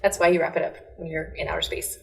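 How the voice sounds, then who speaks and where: Low-voiced woman co-hosting casual banter. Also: little pitch movement, quick pace, creaky. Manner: short bantering interjections. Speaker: co-host of a movie show. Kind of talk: casual joking chat.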